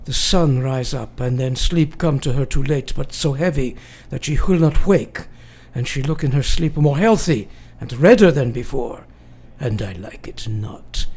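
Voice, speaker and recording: An actor playing a grave, devoted elderly foreign professor in a radio drama, speaking solemnly and deliberately in broken grammar. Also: male voice, medium pitch, expressive, darkish tone, slightly rough. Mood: solemn, fearful. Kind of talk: radio drama acting, dramatic journal narration.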